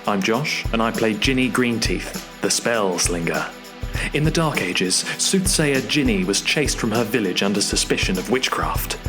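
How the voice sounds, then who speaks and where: A man's voice: fairly low pitch, expressive, a little slow, over music. A man reading a scripted character introduction as a player in a roleplaying show.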